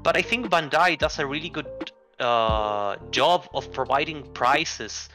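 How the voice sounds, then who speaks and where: A man's voice: a little high, animated, measured pace, sharp tone, faint music behind. A man from Argentina, a card game player guesting in an interview, talking easily with frequent restarts and fillers. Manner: easygoing, restarts often, many likes and rights. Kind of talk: casual chat.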